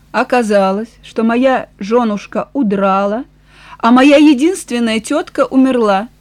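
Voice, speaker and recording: A woman's voice: on the high side, a little mellow, natural voice. Woman reading as a weary, frank farmer nearing sixty. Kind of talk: audiobook character dialogue.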